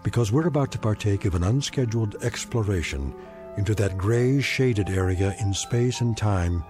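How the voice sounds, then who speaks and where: A man narrating a scripted suspense radio drama in a measured, portentous manner. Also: fairly low pitch. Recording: music behind the voice.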